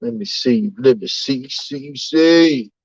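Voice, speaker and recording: A man's voice: medium pitch, lively intonation, slow pace, vocal fry, a little mellow, no echo, highs cut off. A man hosting a casual livestream, reading out names from the chat and often tagging his lines with "you know what I'm saying". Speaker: livestream host.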